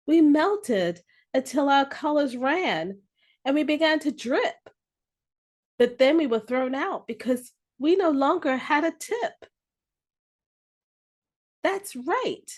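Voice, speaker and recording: A woman reading a children's story aloud with expressive, deliberate phrasing. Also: high-pitched.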